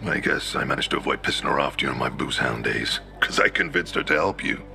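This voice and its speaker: A man playing a gruff, weary older leader with a gravelly, blunt, commanding delivery in a game cutscene. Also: a bass voice, lively intonation, fast talker, bright, forceful tone, full character voice. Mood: matter-of-fact. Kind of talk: video game cutscene acting. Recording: music behind the voice.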